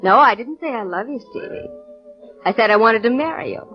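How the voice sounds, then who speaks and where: A woman's voice: on the high side, lively intonation, brisk. A woman playing a coy, poised and playful young heiress in a 1940s radio drama. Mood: confident, playful. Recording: muffled old radio recording, music behind.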